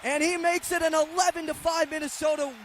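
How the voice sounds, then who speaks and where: A very high-pitched man delivers live radio sports commentary over clear background noise. He follows the action excitedly and breaks into shouts when the play gets big. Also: a little fast. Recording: clearly noisy live broadcast sound.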